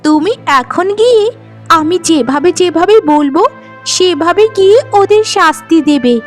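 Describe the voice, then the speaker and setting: A woman's voice: very high-pitched, lively intonation, moderate pace, faint music behind. A woman playing a kind, helpful magical fairy in a cartoon fairy tale, with a caring, reassuring delivery. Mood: encouraging.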